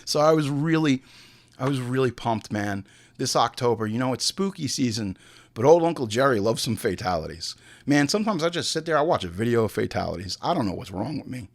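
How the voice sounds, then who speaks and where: Animated, irreverent male podcast host. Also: medium pitch, a little fast, gravelly. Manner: crude, profane, irreverent; theatrical, acts out imagined dialogue. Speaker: host of a movie review podcast. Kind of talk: comedic film commentary mixing read facts with ranting asides.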